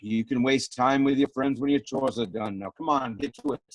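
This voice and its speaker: A man playing a gruff, curt farmer uncle with a brusque, commanding manner in a script read. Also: medium pitch, moderate pace, no echo, natural voice, low-quality recording. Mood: bossy. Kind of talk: virtual script table read.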